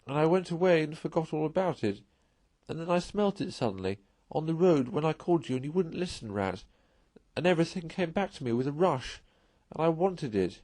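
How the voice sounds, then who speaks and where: A man's voice: on the high side, lively intonation, a little fast, mellow, no room sound, pitched above the performer's own voice. A man voicing a timid, homesick storybook mole who pleads in a broken, sobbing way. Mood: tearful, homesick.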